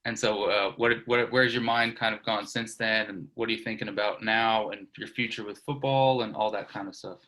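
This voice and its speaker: A man's voice: lowish voice, steady tone, moderate pace, few pauses, sharp tone. A man hosting a casual interview, asking loose, rambling questions. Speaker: interviewer, host.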